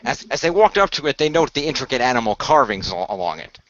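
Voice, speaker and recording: A man improvising narration as game master of a tabletop adventure, halting and repeating phrases as he goes. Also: medium pitch, sing-song, fairly bright tone, no echo, dull audio.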